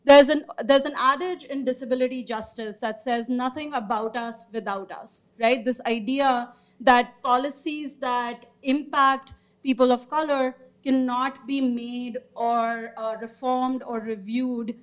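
A woman with a fairly high voice presents research findings at a panel. She speaks formally from notes but slips in informal asides, often pausing mid-sentence as she goes. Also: fairly even intonation. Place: indoor meeting room or auditorium, seated at a panel table. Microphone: gooseneck table microphone.

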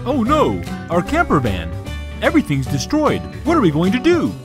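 Man playing an excitable toy daddy pig. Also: on the high side, fairly quick, music in the background. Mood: alarmed. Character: anxious father pig in a toy story.

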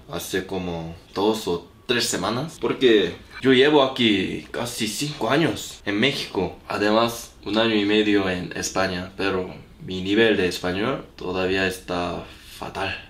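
A man's voice: on the low side, fairly bright tone, reverberant. A young man whose first language is Korean, speaking simple learner's Spanish while cooking and chatting in a vlog, with a lingering cough. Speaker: vlogger. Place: small apartment room.

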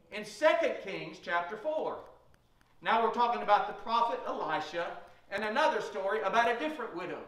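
Middle-aged guy preaching, bright voice, mic way back in an echoey little church. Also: sits high, recorded from a distance. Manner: storytelling preacher retelling Bible stories. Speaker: pastor. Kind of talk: sermon. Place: small church sanctuary, at a pulpit. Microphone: room mic from a far camera.

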